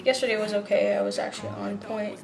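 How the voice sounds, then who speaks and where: A woman's voice: mid-range voice, completely flat delivery, fast, vocal fry, a crowd in the background. A young woman in her twenties casually talking to her phone camera at home, chatty and a bit self-conscious. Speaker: fitness vlogger. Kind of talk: casual vlog talk to camera. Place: home rooms, gym room and a parked car. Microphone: handheld phone camera at arm's length.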